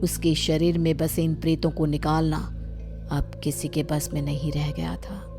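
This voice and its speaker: A woman narrator reads a scripted story. Her voice is low and stays even, with little movement in pitch. Music plays softly underneath. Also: a little slow. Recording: music behind the voice.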